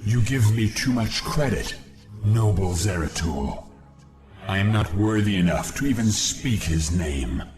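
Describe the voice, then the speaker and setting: A man's voice: lowish voice, lively intonation, measured pace. A man playing a proud, eager young alien warrior in a video game, speaking in formal, earnest declarations. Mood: humble, resolute. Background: music.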